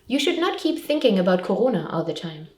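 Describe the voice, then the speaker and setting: A woman's voice: mid-range voice, big pitch swings, brisk, a little mellow. A woman presenting a scripted public information talk, giving simple, step-by-step advice as a support counsellor. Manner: simple, clearly structured advice in short sentences. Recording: roomy echo, distant microphone.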